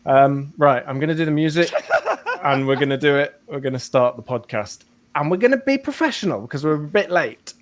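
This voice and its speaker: Playful lively male podcast host. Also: a little high, brisk. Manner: playful, self-mocking, talks to himself in two voices. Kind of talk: live podcast banter and intro.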